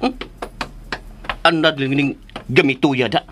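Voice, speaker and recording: An actor playing a gossipy, cheeky, grumbling household servant in a comic radio drama. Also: male voice, mid-range voice, a little slow, fairly bright tone, a little hiss or hum, natural voice.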